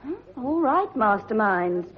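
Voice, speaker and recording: A woman's voice: fairly high pitch, big pitch swings, measured pace. An actress playing an indignant, inquisitive well-bred young woman with a pouting manner in a radio drama. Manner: pouting, questioning. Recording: dull old radio recording.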